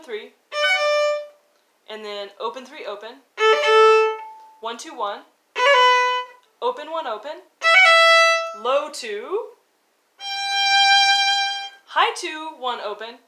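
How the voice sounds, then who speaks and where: A woman's voice: fairly high pitch, low-quality recording. A woman giving an instructional violin lesson, coaching informally and encouragingly as she explains and counts out fingerings. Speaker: violin teacher. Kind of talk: instructional music lesson, talking and demonstrating.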